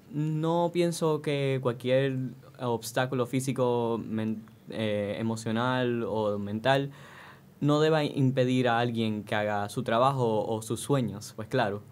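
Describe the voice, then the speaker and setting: A young man answering casually in an interview, with halting pauses mid-sentence. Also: mid-range voice, a little mellow. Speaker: student voice actor, interview guest.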